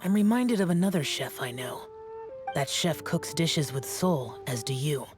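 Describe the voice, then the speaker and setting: A man voicing a reserved, aloof immortal in an anime video game, measured, blunt and detached. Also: on the high side, expressive, music in the background. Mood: cool, reserved. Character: reserved, aloof male immortal with a cool temper.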